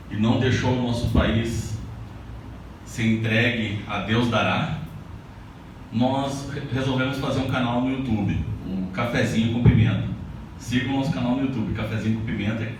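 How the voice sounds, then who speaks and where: A man's voice: fairly low pitch, background noise. A man from southern Brazil giving a loose, conversational talk to an audience at a book launch, often restarting his sentences. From Paraná, Brazil. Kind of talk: speech to an audience. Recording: echoey hall, mic far off, audible ambience.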